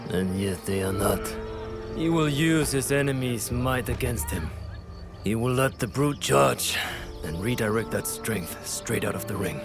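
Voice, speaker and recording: An actor playing a shrewd strategist who explains tactics in a measured, lecturing way. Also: male voice, medium pitch, expressive, music in the background. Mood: knowing. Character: shrewd older male strategist, calm and knowing.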